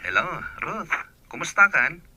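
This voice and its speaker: A man playing an affectionate young master phoning from abroad in radio drama, breathy and rough, with an Ilocano accent. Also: a little high, sing-song, unhurried, over music. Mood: fond. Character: Sir Richard, affectionate employers' son working abroad, phoning.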